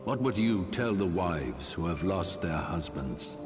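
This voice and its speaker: A man's voice: low voice, animated, a little slow. An actor playing a proud, stern old archbishop and grave father in a fantasy game, speaking in solemn, oratorical, archaic phrases. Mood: fervent, challenging. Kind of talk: dramatic fantasy game voice acting. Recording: long hall reverb, music underneath.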